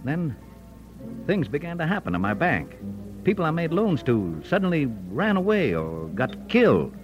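An actor playing a wry, defiant American frontier editor with a folksy manner in an old radio Western. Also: male voice, fairly high pitch, wildly swooping pitch, moderate pace, lo-fi sound, music in the background. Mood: folksy recounting. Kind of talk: old-time radio Western drama acting.

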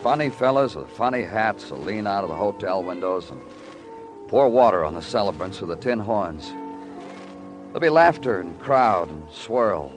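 An American actor playing a tough, world-weary police detective in vintage radio crime drama, with clipped, brooding delivery. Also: male voice, fairly low pitch, lively intonation, normal speed, over music, close to an everyday voice. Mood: wistful, brooding. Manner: clipped hard-boiled delivery, brooding narration. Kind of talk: 1940s-50s radio crime drama acting.

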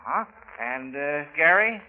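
A man's voice: on the high side, animated, measured pace, a little hiss or hum. An American man hosting a 1940s radio quiz, talking in a folksy schoolmaster manner to children. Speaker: quiz show host. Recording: tinny, band-limited old radio recording.

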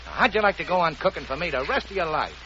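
An actor playing a brash gangster boss who talks in gruff tough-guy slang, in 1940s radio comedy style. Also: on the high side, sing-song, rapid-fire, bright, forceful tone. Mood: coaxing. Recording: muffled noisy old radio recording.

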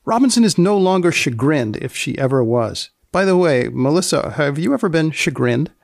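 Male host, dry close recording, gravelly edge; deadpan, mock-solemn, wordy banter. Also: a little high, moderate pace, no room sound. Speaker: podcast host. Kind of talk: wry scripted commentary with banter.

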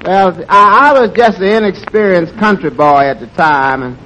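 A meek, sly waiter with a high voice. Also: male voice, normal speed, pushed and bright, low-quality recording. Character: meek, dim-seeming young male waiter with sly wit. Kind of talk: 1940s radio comedy acting.

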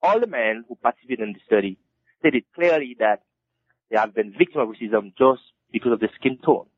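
A man, an author being interviewed, who answers haltingly and restarts his phrases again and again. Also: mid-range voice, animated, husky, dry recording. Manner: halting, with many repeated restarts. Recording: dull lo-fi dry recording.

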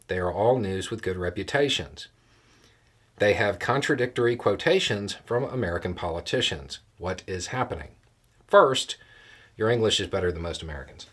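A man giving casual, explanatory political commentary in a folksy, plain-spoken way, with measured phrasing and deliberate pauses. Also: lowish voice, breathy edge. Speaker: host of a political commentary show.

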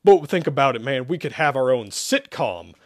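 A man's voice: medium pitch, expressive, moderate pace, dry recording, studio quality, lightly acted. A man voicing a crude, needy male demon spirit in a storybook reading, with a roaring, bombastic manner. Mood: sad, pleading.

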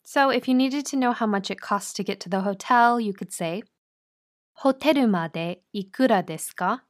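A woman hosting a podcast, talking clearly and breaking phrases down slowly like a teacher. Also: medium pitch. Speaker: podcast host. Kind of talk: scripted podcast intro and interview.

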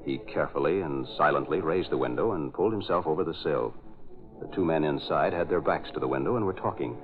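Solemn, scripted narration in a deep voice. Music plays behind him, and the sound is a tinny vintage radio broadcast. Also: male voice, fast. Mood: dramatic. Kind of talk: 1940s-style radio narration.

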